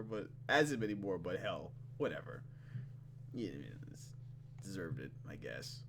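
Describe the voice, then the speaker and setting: A man's voice: fairly high pitch, bright, forceful tone, a little hiss or hum. A man hosting a let's play, casually and expressively commenting and swearing as he reads visual novel text aloud.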